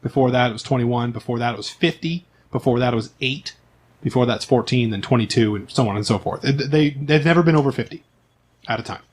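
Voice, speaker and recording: A man's voice: mid-range voice, fast talker, husky. A man acting as game master, explaining the game in a casual, joking, conversational way. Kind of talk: casual tabletop role-playing narration and explanation.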